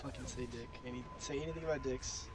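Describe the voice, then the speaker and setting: A man's voice: medium pitch, steady tone, quick pace. A teenage man with a cold, chatting and telling stories bluntly with lots of swearing as a gaming channel co-host. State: sick with a cold. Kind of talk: casual profane chat and storytelling over gameplay. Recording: clearly noisy home game recording.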